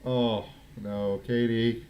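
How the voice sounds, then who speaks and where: A man's voice: medium pitch, little pitch movement, extremely slow, highs cut off, slight background noise. A man hosting a livestream, giving informal, exclamatory reaction commentary in a casual style. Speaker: livestream host.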